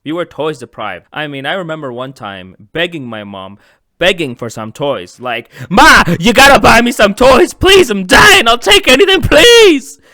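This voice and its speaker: A man's voice: medium pitch, a bit bright, no echo, clean studio sound. A man from Egypt narrating a comedic childhood story, with rambling joking asides. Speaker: animation channel host.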